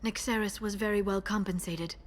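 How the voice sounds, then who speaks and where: A woman playing a guarded, driven young alien information broker bent on revenge, in an earnest, measured and intense manner for a video game. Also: medium pitch, steady tone, close to an everyday voice. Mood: cool, determined. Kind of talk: cinematic video game voice acting.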